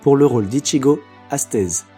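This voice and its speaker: A man's voice: medium pitch, moderate pace, dark tone, soft background music. A man reading the end credits of an audio drama in a light, playful way. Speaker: credits reader. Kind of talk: scripted credits narration.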